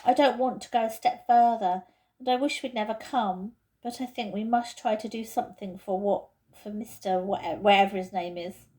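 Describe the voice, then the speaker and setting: A woman's voice: medium pitch, moderate pace, warm tone, lightly acted. A woman voicing a cautious, uneasy schoolgirl in a storybook, hesitant and reluctant.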